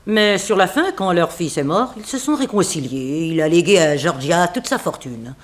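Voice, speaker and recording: An actress playing a composed, proper housekeeper of seventy in a French radio drama, matter-of-fact and formal. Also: female voice, lowish voice, lively intonation, moderate pace, natural voice. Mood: frank, matter-of-fact. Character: composed seventy-year-old female housekeeper, frank and proper.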